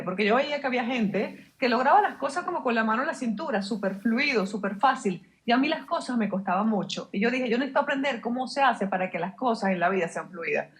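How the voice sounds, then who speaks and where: A woman guest explaining her ideas fluently in an interview, emphatic and full of rhetorical questions. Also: fairly high pitch. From Venezuela. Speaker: guest, mindset mentor.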